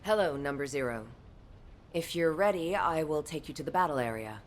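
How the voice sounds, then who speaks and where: A dutiful game operator giving briefings. Her voice is on the lower side, polite and official, with slight noise in the background. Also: expressive, moderate pace. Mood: formal, polite. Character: formal, dutiful female military transport operator. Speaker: voice actor in a video game. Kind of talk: formal game briefing dialogue.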